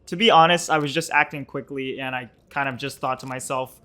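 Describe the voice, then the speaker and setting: A man's voice: medium pitch, steady tone, fairly quick, pushed and bright. A man in his twenties giving a casual, scripted poker vlog voice-over in a matter-of-fact, explanatory way. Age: 20s. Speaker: poker vlogger and host.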